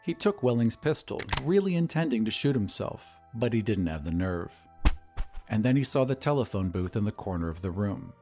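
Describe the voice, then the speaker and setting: A man narrates as the host of an audio story show. His delivery is scripted and dramatic, with a medium-pitched voice that moves expressively. Music plays underneath. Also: sing-song, normal speed, warm tone.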